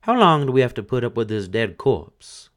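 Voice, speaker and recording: A man's voice: medium pitch, big pitch swings, normal speed, a bit bright, voice pushed up. A man reading aloud and voicing a blunt widow who scolds her dead husband. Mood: scolding. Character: sharp-tongued widow, woman.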